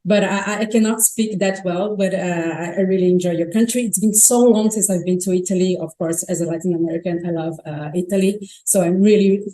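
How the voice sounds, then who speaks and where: Mellow-voiced Brazilian woman giving a talk. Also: on the low side, steady tone, brisk, few pauses, rough recording. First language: Portuguese. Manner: chatty, self-correcting, frequent restarts. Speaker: conference speaker. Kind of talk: tech conference talk. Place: conference stage.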